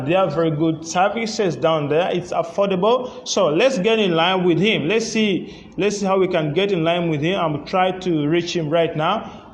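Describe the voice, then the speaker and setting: Hesitant high-voiced man hosting a live chat. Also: a little hiss or hum. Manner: hesitant, many fillers and restarts; enthusiastic interjections. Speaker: host of a live online chat. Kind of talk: casual live-stream interview.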